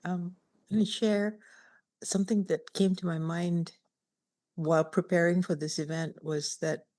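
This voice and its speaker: A woman poet from Malaysia talks thoughtfully over a video call. Her voice sits a little low, and she tells her story in a reflective, easygoing way. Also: fairly even intonation, a little slow, dark tone. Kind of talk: casual chat.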